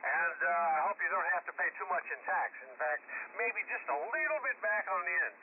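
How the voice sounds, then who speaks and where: Higher voiced guy on ham radio, stammery casual chat, phone-like sound with light static. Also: expressive. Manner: halting, stammering with repeated syllables. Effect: shortwave single-sideband radio. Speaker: amateur radio operator. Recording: narrow phone-like radio band, faint static. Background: faint radio static.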